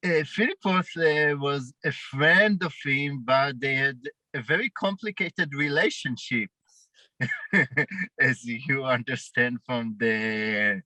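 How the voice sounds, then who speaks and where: A man with a heavy Israeli accent speaks slowly, stopping to hunt for words as he answers questions about his documentary. His voice is bright and forward. The sound is dry and close, as on a video call. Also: mid-range voice, no echo, poor audio. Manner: halting, searching for words. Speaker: documentary director. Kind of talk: festival Q&A interview.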